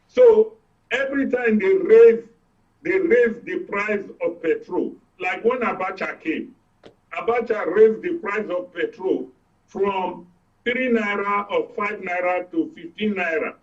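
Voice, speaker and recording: An older Nigerian man with a high-pitched voice speaks as an economist on a discussion programme. He explains step by step in an emphatic, teacherly way and checks that he is understood. He sounds some distance from the microphone. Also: lively intonation, measured pace, dull audio. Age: 60s or older. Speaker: guest economist. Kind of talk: explanatory political discussion on a live broadcast.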